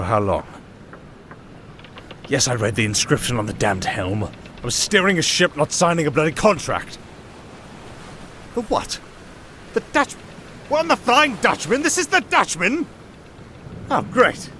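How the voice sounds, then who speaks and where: An actor playing a silver-tongued wandering musician in a fantasy audio drama, sardonic and theatrical, easily flustered. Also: male voice, on the high side, sing-song, moderate pace, long pauses, sharp tone, a little hiss or hum. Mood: exasperated.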